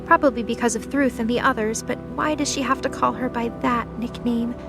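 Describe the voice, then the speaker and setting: Woman reading story narration, voice on the high side, music playing clearly behind her. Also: steady tone, brisk. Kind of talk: scripted light novel narration.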